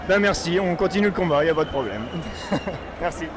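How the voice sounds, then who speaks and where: A man's voice: a little high, fairly quick, a crowd in the background, noisy background. A man from Normandy, speaking off the cuff as a protester in a street interview, often searching for his words. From Normandy, France. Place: outdoors in a city street at a protest.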